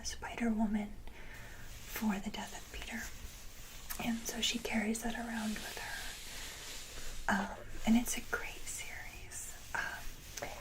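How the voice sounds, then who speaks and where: A woman hosting an ASMR video, speaking casually in a breathy, gentle whisper, in short halting phrases. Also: a little high, rough recording. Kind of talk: soft-whispered ASMR, casual show-and-tell.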